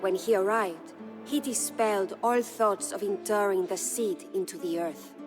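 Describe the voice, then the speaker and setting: A woman's voice: medium pitch, steady tone, a little slow, natural voice. An actress playing a proud, grieving medieval Spanish noblewoman who narrates her story in a solemn, stately, formal way for a video game. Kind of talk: dramatic scripted storytelling. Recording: studio narration over prominent music.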